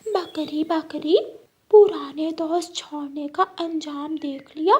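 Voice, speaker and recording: A woman voicing a sly, greedy female cartoon fox with a drawn-out, gloating manner. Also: high voice, a little mellow. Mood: taunting. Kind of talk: cartoon story dialogue.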